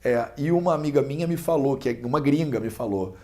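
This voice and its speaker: Guest interviewee: young Carioca man, mellow, dark-toned voice, explains patiently by analogy. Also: mid-range voice, brisk. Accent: Carioca Brazilian Portuguese. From Brazil. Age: young adult. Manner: patient explaining with everyday analogies, frequent restarts. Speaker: Ayurveda student interviewed as a guest.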